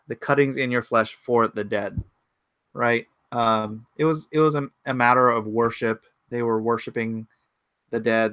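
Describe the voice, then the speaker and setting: A man's voice: mid-range voice, fairly bright tone, dry recording. A man in his forties hosting a casual livestream from his home computer, rambling and thinking aloud as he answers chat comments. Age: 40s. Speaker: livestream host.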